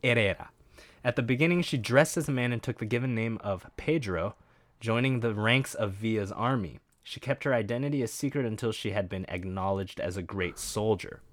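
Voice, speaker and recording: Low-voiced man reading and riffing. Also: fairly quick, no echo. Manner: reads passages aloud and breaks off with wry asides. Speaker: podcast co-host. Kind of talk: casual chat, reading aloud from a web page.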